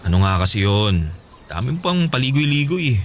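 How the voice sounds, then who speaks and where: A man's voice: medium pitch, very animated, fairly quick, a little hiss or hum, lightly acted. A man playing a cheeky, carefree young older brother in a radio drama, joking and casual. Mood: teasing. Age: young adult. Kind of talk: Filipino radio drama acting.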